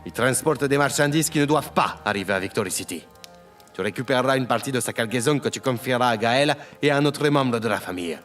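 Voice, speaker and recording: An actor playing an imposing, cold crime family boss, curt and authoritative, in an audio drama. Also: male voice, medium pitch, a bit bright, close to an everyday voice. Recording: music under the voice.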